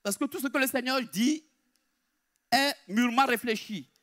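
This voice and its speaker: A man preaching a sermon in an emphatic, deliberate way, repeating his key phrases. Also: very high voice, fairly bright tone.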